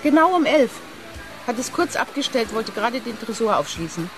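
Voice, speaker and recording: Sarcastic woman with animated delivery. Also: on the high side, normal speed, music in the background. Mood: irritated. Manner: biting, sarcastic, emphatic. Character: sharp-tongued, sarcastic woman gallery owner, angry and unintimidated. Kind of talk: German sci-fi radio drama acting.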